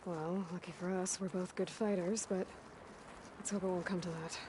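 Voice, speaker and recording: A woman's voice: fairly low pitch, steady tone, moderate pace, background noise, lightly acted. An actress playing a capable young female adventurer in a video game, direct and inquisitive with a dry manner. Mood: curious, direct. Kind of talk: naturalistic video game character acting.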